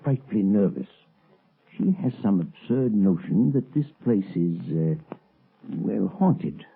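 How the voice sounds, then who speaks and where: Brusque, uneasy professor, old radio thriller; lively swooping intonation, evasive, thin lo-fi sound. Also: male voice, lowish voice, takes it slowly, creaky, husky, heavily acted. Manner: hesitant, evasive, blustering. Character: shifty, nervous male professor, brusque sinister husband. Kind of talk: 1930s-40s radio mystery acting. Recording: muffled, lo-fi vintage radio recording.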